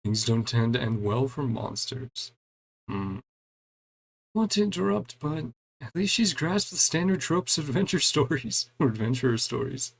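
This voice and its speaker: A man's voice: medium pitch, very animated, moderate pace, gravelly. A man giving casual gameplay commentary, reading game dialogue aloud and adding wry, chuckling reactions in a laid-back way. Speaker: gaming streamer doing a playthrough.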